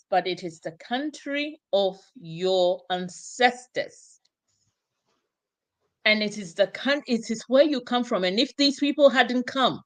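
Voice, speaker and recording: A woman from Ghana hosting an online discussion show, speaking in a slow, emphatic, exhorting way. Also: on the high side, a bit bright. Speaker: talk show host.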